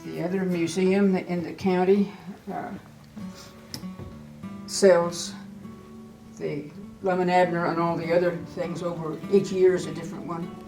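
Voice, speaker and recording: Elderly woman, lowish voice, halting tour talk. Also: level tone, over music, rough recording. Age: 80s. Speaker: museum and gift shop keeper giving a tour. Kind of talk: informal guided tour.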